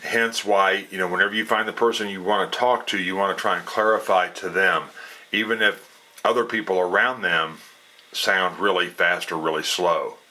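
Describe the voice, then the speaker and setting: Male hobbyist presenter: low, forceful voice, plainspoken and folksy, explaining off the cuff. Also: fairly even intonation, normal speed, low-quality recording. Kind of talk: casual unscripted explainer.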